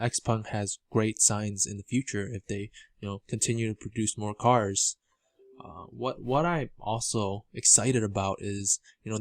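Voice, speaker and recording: A man giving informal financial commentary as a video host, halting and often repeating words as he explains. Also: fairly low pitch, no echo. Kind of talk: informal financial commentary over documents on screen.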